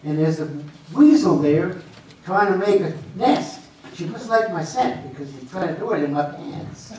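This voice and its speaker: A folksy, talkative small-town working man acted by a man in a stage comedy, with a fairly high voice. He rambles and needles in plain colloquial talk, heard from a distance in a very echoey room. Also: expressive, normal speed, darkish tone. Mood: rambling, weary. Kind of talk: amateur readers theater comedy. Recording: echoey room, distant mic, faint noise.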